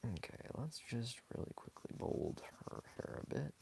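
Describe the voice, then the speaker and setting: A hoarse young man talks casually while sketching live, rambling softly with many fillers and small laughs. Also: mid-range voice, very animated, normal speed, a little hiss or hum. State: hoarse, scratchy from talking too much. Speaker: live-streaming sketch artist. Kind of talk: casual commentary while drawing.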